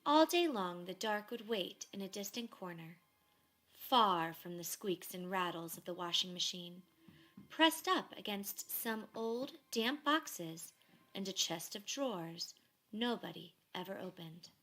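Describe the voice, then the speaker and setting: A woman reading a children's story aloud expressively, in measured phrases that often fall to a hush. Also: medium pitch, lively intonation, low-quality recording. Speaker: storybook reader.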